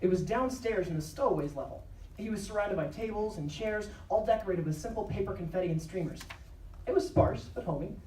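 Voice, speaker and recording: A woman's voice: medium pitch, a little fast, warm tone. A woman narrating a scripted drama live. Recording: echoey live stage, distant, faint noise.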